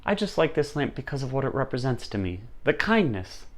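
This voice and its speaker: Storybook young monk, read by a male storyteller: humble, deferential, gentle, with plenty of melodic movement in the voice. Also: medium pitch, big pitch swings, brisk, voice pushed up, natural voice. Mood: politely refusing. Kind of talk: storytelling character voice.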